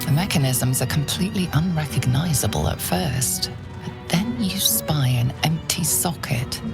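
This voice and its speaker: A woman narrating a video game in the second person, measured, deliberate and ominous. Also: sits low, animated, gravelly. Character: ominous female game narrator addressing the player. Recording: loud music behind.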